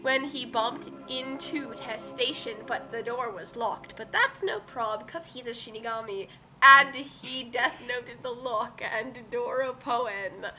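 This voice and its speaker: High-pitched woman reading dramatically, unhurried. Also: bright, forceful tone, faint music behind, rough recording. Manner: exaggerated dramatic emphasis. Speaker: dramatic reader of fan fiction. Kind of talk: theatrical comic reading of a badly written story, with banter.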